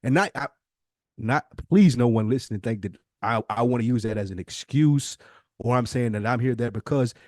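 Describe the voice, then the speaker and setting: A man's voice: medium pitch, normal speed, a little mellow, dry recording, clean studio sound. An American man in his early forties talking casually on a podcast, reflective and emphatic, often repeating himself to make a point. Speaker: podcast host. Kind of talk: casual conversational podcast talk.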